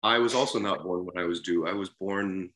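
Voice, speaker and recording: A man in his late forties with an extremely low, deep voice talks easily with his nieces over a video call. He tells family stories in a relaxed, chuckling way. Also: brisk, roomy sound. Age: 40s. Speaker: podcast guest. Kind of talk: casual family chat.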